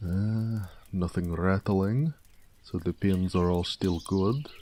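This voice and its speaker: A man playing a proud, gentle orc blacksmith in an intimate, affectionate audio roleplay, speaking tenderly and modestly. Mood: appraising. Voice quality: deep, mellow.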